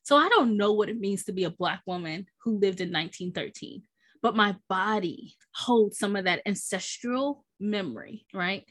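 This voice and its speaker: A woman's voice: mid-range voice, animated, moderate pace, a bit bright. A woman speaking candidly and reflectively in conversation, with emphasis, rhetorical questions and frequent check-ins with the listener.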